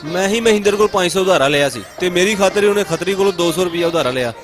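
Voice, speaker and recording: A man's voice: high-pitched, quick pace, natural voice. A man voicing a loyal, apologetic friend in a comic cartoon dub, with a Punjabi accent. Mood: earnest. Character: loyal, apologetic friend who caused the trouble. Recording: music behind the voice. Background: music.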